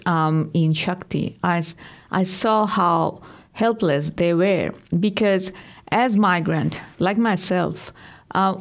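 Woman from Bangladesh, English, low gravelly voice; earnest, halting interview answers. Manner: earnest, halting with many ums. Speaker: community worker and guest.

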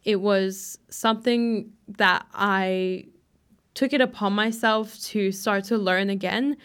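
A woman's voice: medium pitch, fairly even intonation, takes it slowly, fairly bright tone, smooth. A young woman answering interview questions in an earnest, reflective way, with frequent "you know" fillers. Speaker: guest.

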